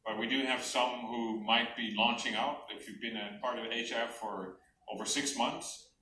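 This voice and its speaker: A middle-aged man speaks informally as the host of a church service. His voice carries through an echoey hall, picked up from a distance with clear background noise. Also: medium pitch, fairly even intonation, normal speed, sharp tone. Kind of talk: informal announcements to a congregation. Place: church hall with stage and screen. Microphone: handheld mic, recorded by far camera.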